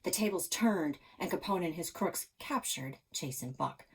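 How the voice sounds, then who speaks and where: Woman reading kids story aloud, lowish husky voice, real suspenseful narration. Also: expressive, normal speed. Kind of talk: dramatic children's story narration.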